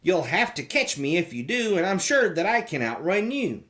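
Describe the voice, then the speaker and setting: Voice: mid-range voice, very animated, moderate pace, fairly bright tone. A storybook reader voicing a boastful little gingerbread man in a taunting sing-song. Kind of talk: storybook character voice.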